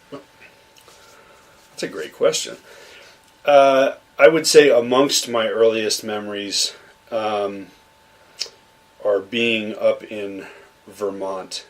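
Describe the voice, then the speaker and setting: A young man from Massachusetts, a podcast guest, telling stories about his childhood in a loose, rambling way with lots of fillers and restarts. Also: fairly low pitch. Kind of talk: relaxed conversational storytelling.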